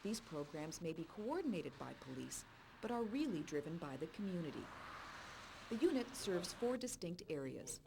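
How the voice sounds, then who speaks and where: A woman reading a scripted voice-over narration for a police recruiting video in a clear, measured way. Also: lowish voice, sing-song, noisy background. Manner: clear, measured informational delivery.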